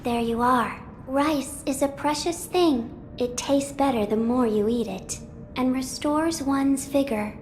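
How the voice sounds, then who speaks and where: A woman playing a solemn, gentle sacred young woman in a game cutscene, speaking with formal, measured serenity. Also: high voice. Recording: clear ambient noise behind dialogue.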